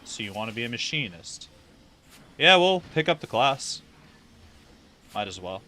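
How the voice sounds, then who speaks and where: A man's voice: medium pitch, sing-song, a little slow, bright, forceful tone. A man casually commentating a livestream of an online fantasy game, rambling and thinking aloud with casual swearing.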